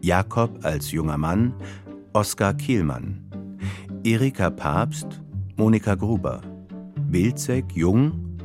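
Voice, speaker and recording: A man with a deep voice makes formal, scripted radio announcements. His delivery is measured and very unhurried. Plucked string music plays clearly behind him. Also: animated.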